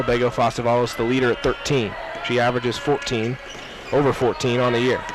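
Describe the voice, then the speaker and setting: A young man calling live basketball play-by-play in clipped running phrases, raising his voice for key plays. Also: mid-range voice. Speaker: play-by-play announcer. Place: courtside in a basketball gym. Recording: noisy gym with loud crowd behind. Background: crowd, bouncing basketballs.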